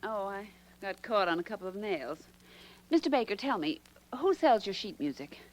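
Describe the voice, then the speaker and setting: An actress playing a brisk, sardonic secretary turned sleuth in 1940s radio style. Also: female voice, mid-range voice, very animated, darkish tone, lightly acted. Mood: brisk, probing. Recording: noisy old radio recording.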